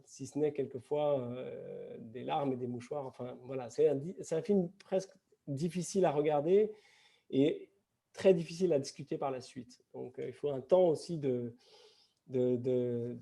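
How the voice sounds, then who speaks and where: Guy at a film debate, gravelly low-key voice, stops and restarts a lot. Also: mellow. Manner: halting, many restarts and fillers. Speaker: festival panelist. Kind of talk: post-screening discussion, reflective.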